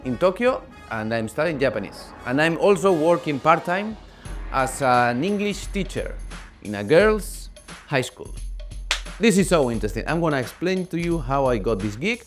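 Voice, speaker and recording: A young man from Spain with a Spanish accent, telling a casual, animated vlog story in English. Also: on the high side, normal speed, soft background music. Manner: animated, talkative, acts out quoted voices. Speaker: vlogger.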